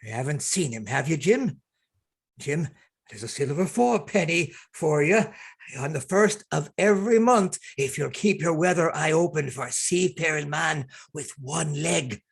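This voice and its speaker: Man acting a gruff old sea captain. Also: on the high side, animated, measured pace, heavily acted. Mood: furtive, coaxing. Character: gruff, hard-drinking old sea captain, wary and domineering. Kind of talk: radio play acting.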